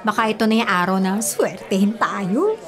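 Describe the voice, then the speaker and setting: A woman's voice: medium pitch, moderate pace, fairly bright tone, natural voice. A woman acting a chatty, down-to-earth, encouraging bar waitress in a Filipino radio drama. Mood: reassuring, chatty. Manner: chatty, coaxing. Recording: music and crowd chatter behind.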